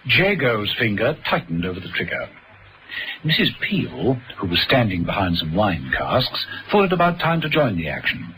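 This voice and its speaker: Male radio serial narrator with lively intonation. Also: lowish voice, normal speed. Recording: noisy vintage radio recording.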